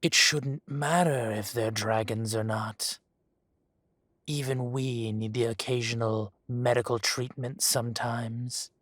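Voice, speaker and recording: A man voicing a menacing adult male dragon gang boss in an audio roleplay drama. He speaks softly and condescendingly, with deliberate, slow threats and hushed whispers. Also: medium pitch, steady tone, dry recording, studio quality. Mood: silky menace. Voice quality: soft-spoken, silky and condescending, drops to whispers.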